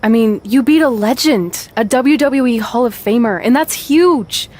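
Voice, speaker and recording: A woman's voice: fairly high pitch, measured pace, a little mellow. A woman playing a loyal, frank young wrestler in a video game cutscene, direct and wry. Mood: scolding.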